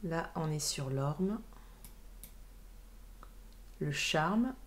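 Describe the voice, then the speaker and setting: A woman's voice: deep voice, animated, extremely slow, noisy background. A woman in her late thirties co-hosting a casual live stream, chatting and reacting to viewer messages. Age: late 30s. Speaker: livestream co-host. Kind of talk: casual livestream chat. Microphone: webcam livestream.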